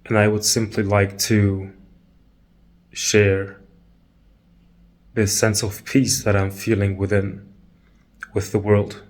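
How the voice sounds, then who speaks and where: Male meditation guide, lowish voice, very slow pace, calm, long pauses, short phrases.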